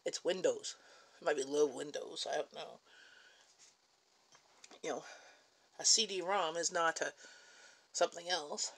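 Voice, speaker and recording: A woman's voice: deep voice, measured pace, vocal fry, pauses often, fairly bright tone. A Canadian woman with a Canadian English accent chatting casually at home in her kitchen on a livestream, reading viewer comments aloud, answering them and laughing between remarks. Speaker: livestream host.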